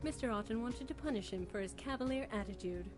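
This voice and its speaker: A woman's voice: a little high, expressive, fast, lightly acted. Actress as a composed, formal woman over music. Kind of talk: visual novel voice acting. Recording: music bed behind the voice.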